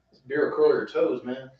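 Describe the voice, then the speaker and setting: An American man from West Texas talks casually at a middle pitch, telling anecdotes and checking in with "you know". He sounds far from the microphone in a very echoey space, with a dull, muffled recording. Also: level tone, unhurried. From West Texas, United States. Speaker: home brewer co-hosting a brew day. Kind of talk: casual chat.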